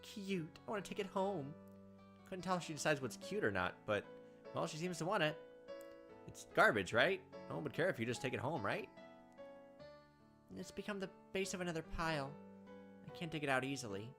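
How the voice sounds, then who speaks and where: Game host, mid-pitched voice, narrates story text with casual asides over faint music. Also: expressive, fairly quick, pauses often. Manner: reads aloud and drops in offhand asides. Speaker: let's play host reading a visual novel aloud.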